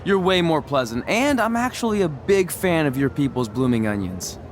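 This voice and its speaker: A young, eager rookie wrestler in a video game. His delivery is glib and casual, with very lively intonation, over faint background noise. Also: on the high side, normal speed. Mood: lighthearted. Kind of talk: video game cutscene acting.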